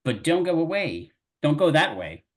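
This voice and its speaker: A man doing a chatty little worm, husky. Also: on the high side, very animated, moderate pace. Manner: homely, chatty, rough colloquial speech. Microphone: video call.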